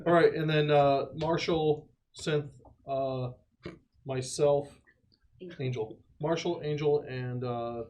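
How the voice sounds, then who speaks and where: A man's voice: on the high side, fairly even intonation, slow pace, darkish tone, dull audio. A man chatting casually as a tabletop game player, bossy and joking. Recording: faint background noise, clicks.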